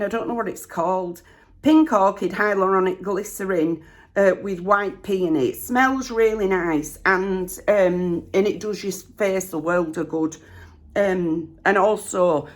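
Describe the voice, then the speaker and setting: Chatty Northern English mum, slightly croaky. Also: female voice, medium pitch. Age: middle-aged. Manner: rambling, homely, lots of ums and rights. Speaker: vlogger. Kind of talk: chatty get-ready-with-me storytime.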